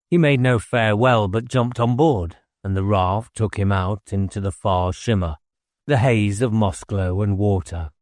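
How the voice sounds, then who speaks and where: A man's voice: fairly low pitch, darkish tone, dry recording, studio quality. A man reading a novel aloud as scripted audiobook narration.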